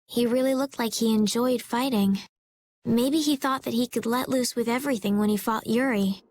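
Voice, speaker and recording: Woman as a high-voiced, earnest young adventurer. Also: moderate pace, darkish tone, close to an everyday voice. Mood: puzzled. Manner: sincere, questioning. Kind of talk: anime video game voice acting.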